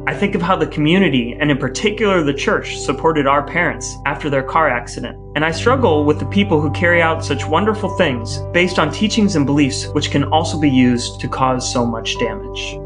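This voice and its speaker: An American man co-hosting a podcast in an articulate, measured, scripted delivery. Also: fairly high pitch. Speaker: podcast co-host. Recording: guitar music behind the voice. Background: guitar music.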